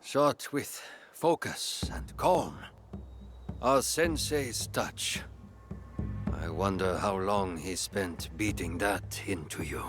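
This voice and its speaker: An actor playing a wry, battle-worn ronin and former general in a video game, with a deliberate, knowing, formal manner. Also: male voice, low voice, animated, fairly bright tone, faint music behind, full character voice. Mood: wry, reminiscing. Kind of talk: dramatic video game acting.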